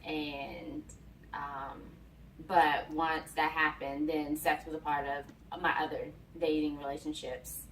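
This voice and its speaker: A woman's voice: low voice, little pitch movement, slow, a bit bright. An American woman of about thirty-one, an interview guest reflecting informally on her personal life. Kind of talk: casual personal storytelling. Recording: echoey room, distant mic, faint noise.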